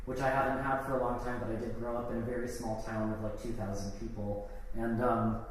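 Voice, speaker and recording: A man's voice: mid-range voice, little pitch movement, a little fast. A man giving a live poetry reading of his own work, with casual introductions between pieces. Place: live event in an art gallery. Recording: echoey, noisy hall, distant microphone.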